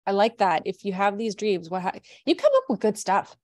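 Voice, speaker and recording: A woman's voice: mid-range voice, sing-song, brisk, darkish tone, no room sound. Canadian lady hosting a chatty podcast, laughs a lot, dry close mic. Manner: bubbly, laughs often, restarts sentences. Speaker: podcast host. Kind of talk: casual interview chat.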